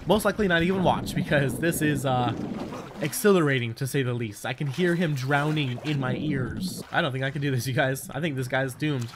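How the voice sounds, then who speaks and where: A man giving casual, profane and excitable game commentary, talking straight to the character he is playing. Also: medium pitch, fairly quick, noisy background. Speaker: gaming video host. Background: game audio of boat and water.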